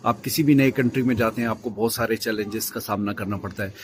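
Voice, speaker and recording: Low-voiced man giving casual advice. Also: fairly even intonation, quick pace, slight background noise. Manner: explains with many fillers and restarts. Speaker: video host.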